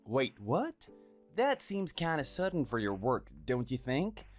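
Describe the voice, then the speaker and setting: A performer voicing a meek, sickly man in his thirties who speaks softly and hesitantly, always apologizing. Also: mid-range voice, big pitch swings, measured pace, soft background music. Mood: pleading. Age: 30s. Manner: soft, hesitant, pleading. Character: meek sickly young husband, timid and apologetic.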